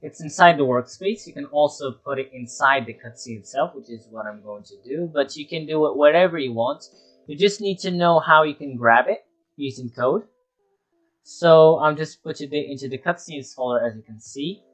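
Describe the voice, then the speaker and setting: A man's voice: a little high, normal speed. A man giving an unscripted screen-share tutorial, talking through each step as he works and often repeating himself. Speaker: tutorial presenter.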